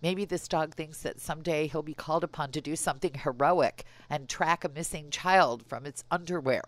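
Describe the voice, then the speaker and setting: A woman's voice: low-pitched, normal speed. A middle-aged woman podcast host telling humorous stories in casual chat, with a wry manner given to playful exaggeration.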